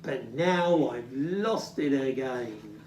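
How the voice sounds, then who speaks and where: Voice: medium pitch, lively intonation, very slow, darkish tone, slight background noise. A storyteller voicing a small, earnest, easily tearful young male bear in a children's storybook, exclaiming and plaintive. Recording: roomy, distant microphone.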